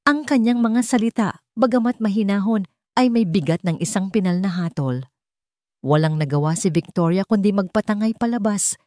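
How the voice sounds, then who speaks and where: A woman narrating a scripted drama story in a measured, dramatic storytelling manner. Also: medium pitch, a little mellow, no echo, clean studio sound.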